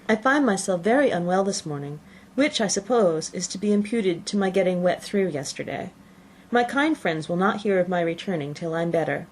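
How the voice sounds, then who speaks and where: A woman voicing a gentle, unwell young woman's letter in an audiobook, mild and politely reassuring. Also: medium pitch, slight background noise, natural voice, low-quality recording.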